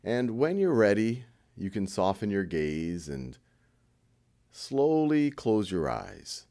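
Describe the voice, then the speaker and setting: Low-voiced man guiding a meditation. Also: sing-song, slow pace, creaky, warm tone. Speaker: meditation guide. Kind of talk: guided meditation, informal and conversational.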